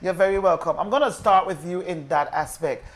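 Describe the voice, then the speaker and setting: Low-pitched woman, talk show host. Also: a little fast. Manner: encouraging, affirming. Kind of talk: conversational on-air interviewing.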